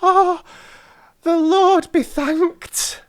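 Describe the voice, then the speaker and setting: A man's voice: very high-pitched, steady tone, very slow, no room sound, studio quality, pitched above the performer's own voice, exaggerated voice. A man voicing a garrulous, wheedling old working-class London woman who mumbles and rambles in an audiobook. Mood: effusive relief. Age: older. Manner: mumbling, rambling, effusively grateful.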